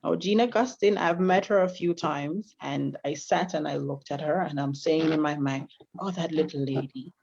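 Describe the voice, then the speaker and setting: A man's voice: on the high side, moderate pace, vocal fry, a little mellow, slightly rough, lo-fi sound. A man from Grenada on a committee, speaking earnestly and reflectively in a panel discussion over a video call. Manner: earnest, reflective, with many restarts. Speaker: Grenada Day committee member.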